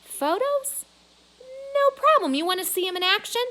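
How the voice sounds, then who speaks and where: A woman's voice: very high-pitched, big pitch swings, normal speed, pushed and bright, voice pushed up, exaggerated voice. A woman voicing a blustering, opportunistic male mall owner with brash salesman talk, in a children's novel read aloud. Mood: eager, boastful.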